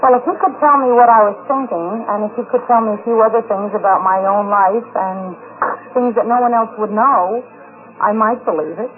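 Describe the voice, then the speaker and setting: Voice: medium pitch, fairly quick, slight background noise. An interviewee giving earnest, hesitant, carefully phrased answers in a vintage 1950s radio interview. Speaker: convention attendee being interviewed. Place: busy convention, on location. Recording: tinny 1950s radio recording, crowd chatter.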